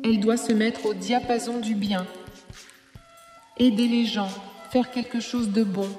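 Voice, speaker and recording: A woman playing a calm, solemn spiritual guide in a cartoon, teaching in a measured, didactic way. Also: fairly high pitch, lightly acted. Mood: solemn, instructive. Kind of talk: solemn instructive dubbed cartoon dialogue. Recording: echoey reverberant hall, music behind.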